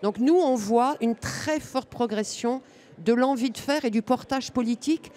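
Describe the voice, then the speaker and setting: Slightly high-voiced woman explaining on a panel. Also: moderate pace, a little mellow. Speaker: public participation consultant.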